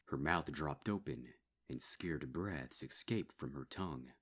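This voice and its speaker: A man reading a dark horror story as a dramatic scripted narration. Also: extremely low pitch, measured pace, slightly rough. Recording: music behind.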